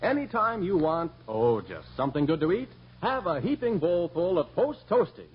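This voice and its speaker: An American man as a radio announcer, giving a folksy 1940s-style commercial sales pitch. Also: very animated, moderate pace. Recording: muffled, noisy 1940s radio recording.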